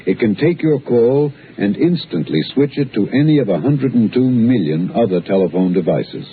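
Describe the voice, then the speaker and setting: A man's voice: mid-range voice, expressive, moderate pace, slight background noise. An American man reads a scripted vintage radio commercial with a polished, emphatic announcer's delivery. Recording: tinny vintage radio broadcast, faint music.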